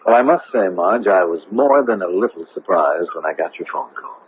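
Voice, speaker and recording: A man's voice: low-pitched, animated, fairly quick. An actor playing a courteous, guarded middle-aged man in a radio drama, polite and slightly hesitant. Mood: puzzled, flattered. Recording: noisy, tinny, band-limited vintage radio recording.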